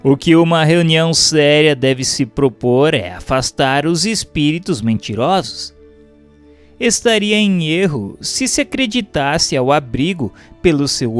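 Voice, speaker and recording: A man with a Brazilian accent giving a formal, measured, didactic scripted reading of a religious text as a narrator. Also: a little high. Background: background music.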